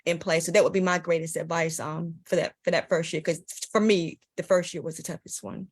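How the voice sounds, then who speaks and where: A woman in her forties, a guest mother giving frank, animated advice and laughing easily. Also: lowish voice, fairly quick. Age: 40s. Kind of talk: casual advice.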